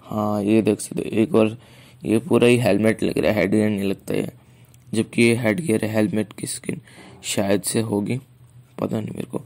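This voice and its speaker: A man's voice: lowish voice, flat delivery, normal speed, creaky, a little mellow, no echo. A man with an Indian accent giving casual game showcase commentary, often repeating his phrases. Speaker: gaming video creator and host.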